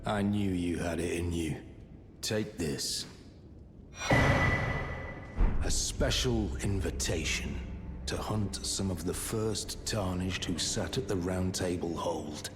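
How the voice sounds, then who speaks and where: A grave renegade knight in a fantasy game, deep-voiced and very slow. He is courteous and deliberate, his voice trailing long hall reverb over music. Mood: solemn approval. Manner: solemn, deliberate. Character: grave, courteous noble warrior of a renegade manor. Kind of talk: dark fantasy game dialogue.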